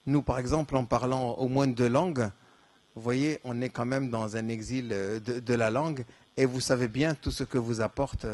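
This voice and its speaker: A man's voice: mid-range voice, fairly even intonation, normal speed, a little mellow. A man from Morocco whose first language is Darija, answering questions at a conference with many restarts and fillers. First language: Moroccan Arabic (Darija). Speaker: conference speaker. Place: lecture hall.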